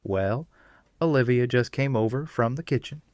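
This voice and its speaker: Man playing a polite apologetic husband, mid-pitched, dry sound, audio drama. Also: moderate pace, darkish tone, no room sound, lightly acted. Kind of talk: fan audio drama acting.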